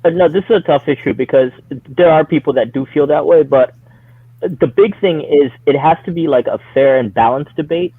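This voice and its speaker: A man joining a live stream over a call, speaking in a measured, deliberate way, on a thin phone-like line. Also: a little high, breathy edge, no echo.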